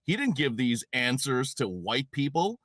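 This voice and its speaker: A man's voice: mid-range voice, very animated, a little slow, fairly bright tone, dry recording. American male commentator, close dry sound. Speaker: panel guest commentator. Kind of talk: conversational political commentary.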